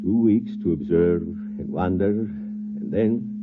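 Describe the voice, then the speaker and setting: An actor playing a grave scientist in a 1950s radio drama, speaking in a clipped, solemn, measured way over a muffled vintage recording. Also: male voice, deep voice, noisy background. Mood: awed, grave. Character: grave male scientist running a desperate experiment on humanity. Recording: muffled vintage radio, loud humming electronic tones.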